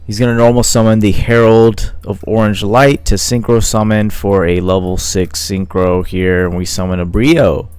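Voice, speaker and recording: Low smooth-voiced man commentating a card match. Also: little pitch movement, moderate pace, hardly any pauses, a little mellow, dry recording. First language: not Italian. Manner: casual, thinking aloud with fillers.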